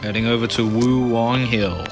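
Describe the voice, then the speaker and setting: A male gamer talks casually through a playthrough in a middle-pitched voice. He is low-key and mutters to himself, with game music clearly playing behind him. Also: steady tone, moderate pace. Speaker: gamer, channel host.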